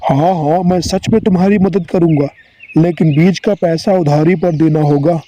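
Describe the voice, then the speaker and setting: A man's voice: a little high, steady tone, moderate pace, mellow, muffled recording, lightly acted. A man playing a clever, scheming village merchant with a persuasive manner in a cartoon story.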